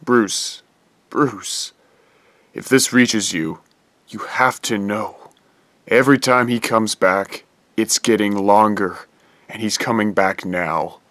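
An actor playing a desperate former prosecutor who is losing himself, pleading gravely and urgently in an audio drama. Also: male voice, lowish voice, fairly even intonation, slow, fairly bright tone, no room sound.

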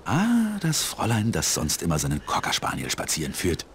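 Old gentle pastor in a video game, deep voice, kinda formal n polite, faint outdoor noise w crows. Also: male voice, wildly swooping pitch, a little fast, heavily acted. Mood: courteous. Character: elderly gentle village pastor. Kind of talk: video game character dialogue.

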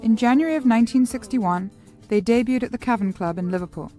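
A woman reading scripted documentary narration in a measured, even way. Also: fairly high pitch, faint music behind.

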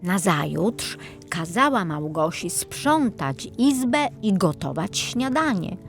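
A woman narrating a children's fairy tale as a storyteller, in a measured, dramatic reading. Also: lowish voice, sing-song, vocal fry, faint music behind.

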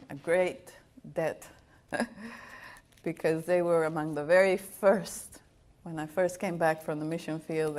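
A middle-aged woman with a slight foreign accent preaches from a church pulpit into a handheld microphone. She tells her life story in an animated, laughing way, with a voice pitched slightly low. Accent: foreign accent of Italian and Latin American upbringing. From Bolivia. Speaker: guest missionary speaker. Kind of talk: sermon with personal storytelling. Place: church auditorium with a stage. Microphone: handheld microphone at a pulpit, wide camera.

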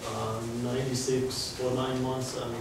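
A man in his forties speaks with a Finnish accent, a guest lecturer talking to students in a chatty, conversational way. He sounds distant from the mic, his voice booming around a big echoey lecture hall. A steady hum of room noise sits under him. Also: a little slow, gravelly. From Finland. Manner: chatty, conversational, restarts phrases. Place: large lecture hall, indoors. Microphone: far camera, no mic seen.

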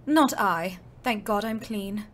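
An actress playing a prim, naive, easily shocked young ambulance driver, earnest and prissy, in an audio play. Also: female voice, medium pitch, takes it slowly, fairly bright tone. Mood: prim, puzzled.

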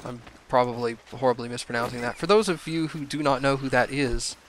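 A young man commenting casually on a video game he is playing, his voice a little hoarse from a cold, rambling with self-deprecating asides. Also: medium pitch, fairly quick, fairly bright tone, slight background noise. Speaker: let's play gamer.

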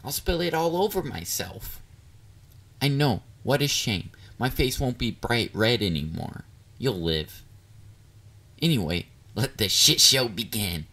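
A man's voice: medium pitch, lively intonation, measured pace, bright, forceful tone, full character voice. A man playing a bubbly, bashful catboy boyfriend in an intimate roleplay audio drama, dorky and easily flustered. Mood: playful, bashful. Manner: playful, dorky, flustered, hesitant. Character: bubbly, bashful young male catboy partner.

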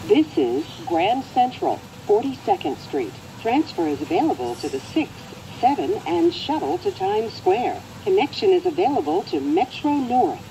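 American lady doing subway announcements, mellow voice, really swoopy intonation, train noise behind. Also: fairly high pitch, sing-song, moderate pace, few pauses, rough recording. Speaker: transit announcer.

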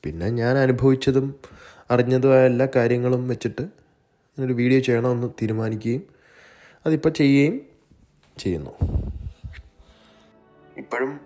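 A man giving a measured, explanatory documentary voice-over as the narrator of a video. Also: medium pitch, dark tone. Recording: music bed, some dull echoey passages. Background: music.

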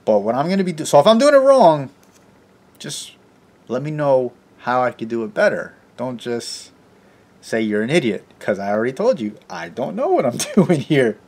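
A man in his thirties with a hoarse, sniffly voice, chatting casually to a handheld camera in his home garage and car, rambling and self-deprecating. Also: mid-range voice, big pitch swings, moderate pace, a little mellow. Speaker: car vlogger. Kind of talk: casual how-to vlog talk to camera. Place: home garage, then inside a parked car. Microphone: handheld camera at arm's length.

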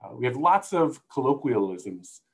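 A man's voice: mid-range voice, very animated, moderate pace, creaky, slightly rough. A middle-aged man with an American accent giving an informal slide presentation as a guest expert over a video call. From United States. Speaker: zoo conservation manager, guest speaker. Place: room indoors. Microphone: earphone headset on video call.

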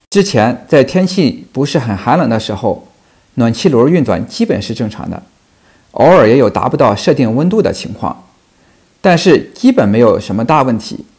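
Lively-voiced man explaining furnace repair. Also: medium pitch, slightly rough. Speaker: HVAC technician. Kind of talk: instructional voice-over narration.

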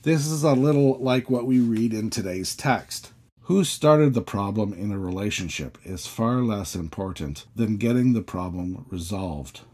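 A man, a priest, giving a measured sermon full of questions, each sentence opening higher and settling low. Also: expressive, darkish tone. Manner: measured, questioning preaching with rising openings and falling endings.

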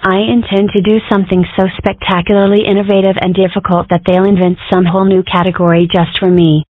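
A woman's voice: medium pitch, flat delivery, fast talker, no echo, lightly acted. A defiant, guilt-ridden young fox girl played by a woman in a comic strip cartoon. The sound is thin and phone-like, very dry with no room around it. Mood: matter-of-fact.

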